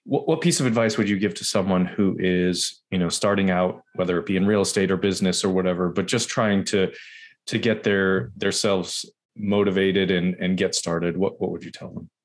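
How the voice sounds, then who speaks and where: A man with a very deep voice hosts a casual interview. He speaks haltingly and often repeats his words as he works toward a question. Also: steady tone. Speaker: podcast host.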